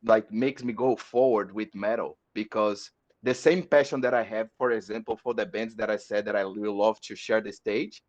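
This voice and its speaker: A man from Brazil speaking English with a Brazilian accent, a metal musician chatting on a podcast, halting and repeating words as he searches for them. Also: lowish voice, fairly even intonation. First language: Portuguese. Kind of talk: casual podcast chat. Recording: dull lo-fi audio.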